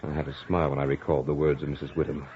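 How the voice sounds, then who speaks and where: A man playing a studious, self-assured young gentleman, telling his story in a measured, literary way in the style of 1940s radio drama. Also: extremely low pitch, flat delivery, slight background noise. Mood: intrigued, uneasy. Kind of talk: 1940s radio drama acting and first-person narration. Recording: dull old radio recording, music behind.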